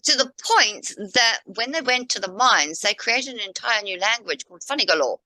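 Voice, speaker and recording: A woman's voice: fairly high pitch, expressive, moderate pace, bright, forceful tone, no echo, low-quality recording. A woman from Africa, chatting casually as co-host and rambling through history while pointing at a map. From South Africa. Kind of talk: casual discussion.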